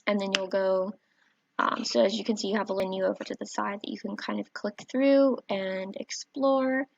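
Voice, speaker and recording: A soft-spoken woman, a teacher, gently walking viewers through a website on screen, with a warm voice and frequent fillers. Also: mid-range voice, steady tone, normal speed, creaky. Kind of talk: screen-recorded tutorial walkthrough. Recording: dry, slightly dull home recording.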